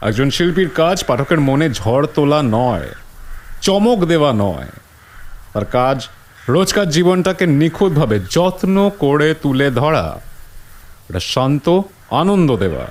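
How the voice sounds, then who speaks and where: A man's voice: fairly high pitch, a little mellow. A man playing a skeptical, pragmatic school principal in an audio drama, dry, scornful and lecturing.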